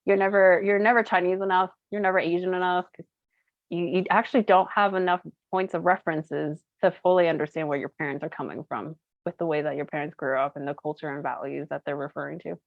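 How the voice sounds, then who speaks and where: A woman's voice: lowish voice, steady tone, fast, dry recording, phone-quality sound. A woman in her thirties from Colorado whose first language is Cantonese, chatting casually as an interview guest with lots of fillers. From United States (Colorado). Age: 30s. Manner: chatty, uses many fillers and laughs easily. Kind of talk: casual chat, storytelling.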